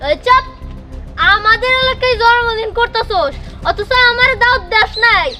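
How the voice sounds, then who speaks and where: A woman's voice: very high voice, moderate pace, over loud music. A woman playing a bratty, cake-crazy young bully in a cartoon moral story, mocking and bossy. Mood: domineering.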